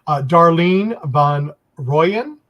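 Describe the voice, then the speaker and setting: A man's voice: fairly high pitch, lively intonation, extremely slow, a bit bright, dull audio. A man hosting a live-stream chat on a video call, friendly, reading out viewer comments.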